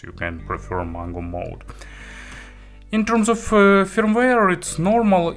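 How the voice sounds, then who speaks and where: A man giving a casual spoken product review as an audio gear reviewer, in a halting way with frequent filler words. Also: fairly low pitch, fairly bright tone.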